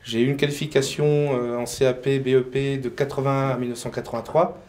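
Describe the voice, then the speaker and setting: A man's voice: medium pitch, level tone, brisk, a little mellow. A man from France, a painter and decorator, answering interview questions hesitantly with many fillers.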